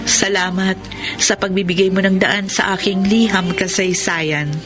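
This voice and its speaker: Woman acting heartbroken lover, Filipino accent, radio drama, halting sentimental delivery, music underneath. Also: mid-range voice, fairly even intonation, close to an everyday voice. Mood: wistful. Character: tender, sorrowful woman parting from her married lover.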